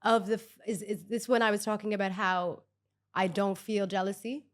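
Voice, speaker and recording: A young woman with a mild Irish accent talks in a relaxed, unhurried way as a podcast co-host. Her humor is dry and understated. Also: mid-range voice. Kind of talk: casual chat.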